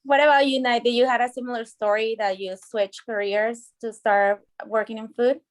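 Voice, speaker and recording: Woman panel moderator, higher voice, short encouraging questions. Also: fairly even intonation, normal speed. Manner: brief, encouraging prompts.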